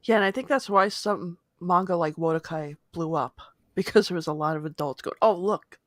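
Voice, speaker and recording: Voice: medium pitch, normal speed, no room sound. Giggly voice swapping old internet memories. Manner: laughs often, reminiscing. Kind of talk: casual chat.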